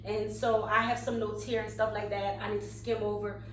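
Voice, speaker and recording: A woman of thirty, co-hosting a casual podcast chat, talking slowly and thoughtfully and trailing off quietly. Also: medium pitch, level tone. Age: 30s. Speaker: podcast co-host. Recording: echoey room, mic at a distance, faint hum.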